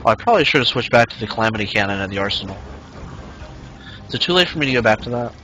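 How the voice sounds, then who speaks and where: Bright-voiced young man streaming casually. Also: medium pitch, expressive, rapid-fire, soft background music, rough recording. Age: 20s. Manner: rambling, reads chat aloud, many fillers. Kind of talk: casual live-stream chat while gaming.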